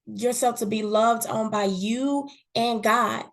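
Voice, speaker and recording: Earnest woman, fairly high voice, candid chat. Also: steady tone, takes it slowly. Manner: earnest, repeats words, often says "you know what I'm saying". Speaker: podcast guest. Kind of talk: candid conversational talk.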